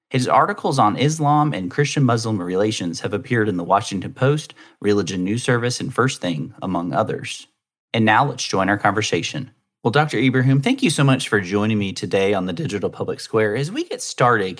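Husky low-voiced podcast host. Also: male voice. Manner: polished, steady delivery. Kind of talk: scripted intro, then interview.

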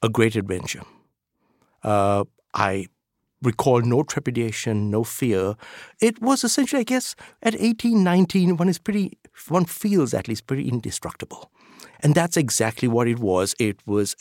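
A middle-aged man from Sri Lanka, a professor answering interview questions in a relaxed, hesitant way with many fillers. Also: normal speed, no echo, clean studio sound. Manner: hesitant, many fillers, lively pitch jumps. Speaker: professor, guest.

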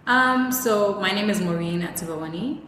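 A woman, a consultant interviewed as a guest, explaining her points clearly and evenly. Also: medium pitch, moderate pace, fairly bright tone, a little hiss or hum. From Ghana. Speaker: guest, customer experience consultant. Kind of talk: conversational interview. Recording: echoey room with music underneath.

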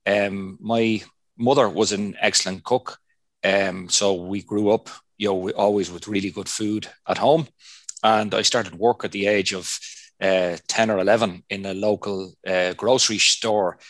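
A middle-aged man from Dublin with an Irish accent, a native Irish speaker, telling his background as a chef in a relaxed, rambling way with many fillers. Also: on the low side, a little slow, no room sound. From Ireland. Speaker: chef and culinary lecturer. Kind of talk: interview answers, storytelling.

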